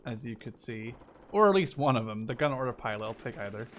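A man's voice: mid-range voice, lively intonation, quick pace. Man commentating over noisy game gunfire. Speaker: gaming video host. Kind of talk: casual first-person gameplay commentary. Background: video game gunfire and artillery.

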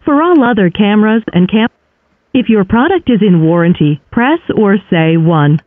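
A woman's voice: mid-range voice, animated, moderate pace, no echo. A woman's voice reading scripted automated menu prompts over the phone. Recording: narrow dry phone line.

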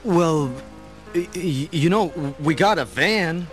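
Shy, high-voiced young guy. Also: expressive, slow, soft background music. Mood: nervous. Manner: stammering, earnest. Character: shy, awkward, eager young Brooklyn man. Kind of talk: radio play acting.

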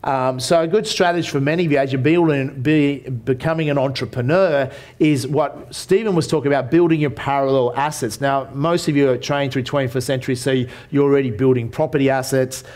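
A man's voice: mid-range voice, fairly even intonation, fairly quick, few pauses, a little mellow. An Australian man in his forties gives a business seminar from a lectern in a hotel conference room. He talks in a loose, conversational way, full of fillers and restarts. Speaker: seminar presenter.

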